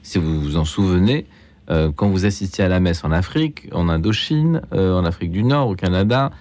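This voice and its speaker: Deep-voiced male radio host. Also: a little fast, a little mellow, no echo. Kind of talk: live radio phone-in discussion.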